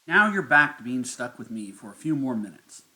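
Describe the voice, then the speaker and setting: A man narrating a step-by-step software tutorial as its host. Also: mid-range voice, sing-song, normal speed, poor audio.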